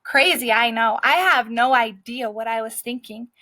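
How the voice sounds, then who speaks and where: A woman's voice: a little high, normal speed, sharp tone, no echo. A woman voicing a scrappy, streetwise male dog who narrates his own story in a brash, cocky way.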